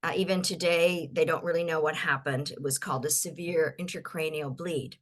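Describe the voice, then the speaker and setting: An older woman from Ontario giving a webinar talk, her voice a little croaky, halting often with fillers and restarts. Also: low voice, steady tone. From Ontario, Canada. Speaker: guest speaker.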